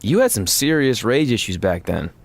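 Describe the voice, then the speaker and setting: A woman's voice: very deep voice, sing-song, moderate pace, heavily acted. An actress playing a teasing, level-headed young woman wrestler in a video game cutscene.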